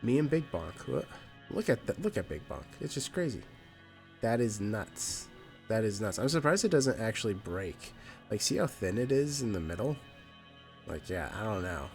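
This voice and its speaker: Nasal guy rambling through a gaming update, lowish voice, game music going in the back. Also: very animated, normal speed, vocal fry, darkish tone. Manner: rambling, with many fillers and restarts. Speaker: gaming channel host. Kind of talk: casual let's play commentary. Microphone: new microphone.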